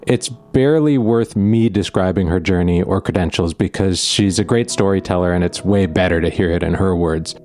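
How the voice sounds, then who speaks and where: Podcast host, man, 40s, very low voice, enthusiastic and casual. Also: normal speed, hardly any pauses, dark tone, dry recording. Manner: enthusiastic, informal. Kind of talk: scripted podcast introduction.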